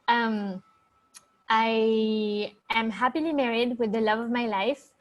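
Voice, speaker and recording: A woman's voice: a little high, steady tone, takes it slowly, lo-fi sound. A woman with a French accent, chatting casually in an interview, hesitant and full of fillers, laughing easily. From France. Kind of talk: casual video-call interview.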